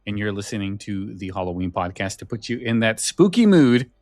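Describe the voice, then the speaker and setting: A man's voice: lowish voice, very animated, fairly quick, darkish tone, dry recording, studio quality, soft background music. A man chatting casually as a podcast host, rambling and a little hesitant. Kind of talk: casual chat.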